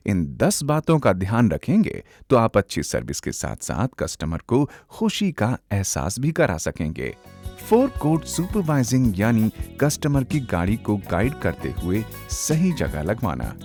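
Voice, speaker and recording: A man's voice: lowish voice, darkish tone. A man narrating a scripted instructional film, emphatic and instructive, addressing viewers directly on a close, dry microphone. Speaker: narrator, presenter.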